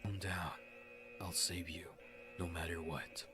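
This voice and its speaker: A performer voicing a calm, protective male rescuer with a terse, grave delivery, under loud music. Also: deep voice, slow. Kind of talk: amateur dramatic voice acting demo.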